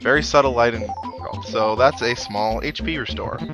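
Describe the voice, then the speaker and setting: A man's voice: medium pitch, moderate pace. A young man giving casual, rambling, self-deprecating gameplay commentary with video game music behind him. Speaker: video game let's player.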